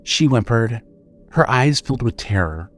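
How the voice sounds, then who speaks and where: A man's voice: medium pitch, lively intonation, a little slow, soft background music. A man narrating a scripted horror story as the narrator of an audio drama.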